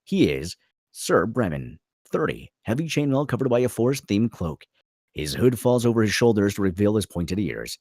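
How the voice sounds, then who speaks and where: Low, animated man narrating a screenplay. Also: normal speed, no room sound, studio quality. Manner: theatrical, punchy, clipped phrases. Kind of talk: dramatic reading of screenplay stage directions.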